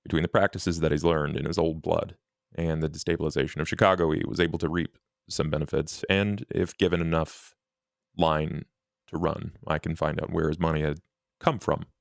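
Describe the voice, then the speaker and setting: Composed vampire financier for tabletop roleplay, acted by a man; bass register, measured and formal, recorded close and dry. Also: little pitch movement, gravelly, no echo. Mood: calculating.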